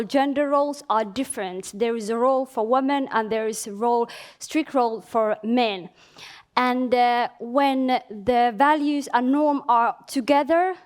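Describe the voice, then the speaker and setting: A woman, born in Somalia and raised in Finland, gives a conference presentation in a hesitant way, with frequent filler sounds and restarts. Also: fairly high pitch. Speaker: conference speaker. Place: conference venue.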